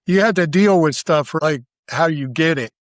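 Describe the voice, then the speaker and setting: Higher-voiced middle-aged American man telling stories. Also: normal speed, no room sound, clean studio sound. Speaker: interview guest, barbecue cook. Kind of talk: casual storytelling in an interview.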